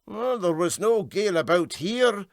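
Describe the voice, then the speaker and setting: Matter-of-fact Scottish farmer, read by a man, mildly regretful, lilting higher pitch, comic storybook. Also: animated, unhurried, no echo, clean studio sound. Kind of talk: comic storybook character reading.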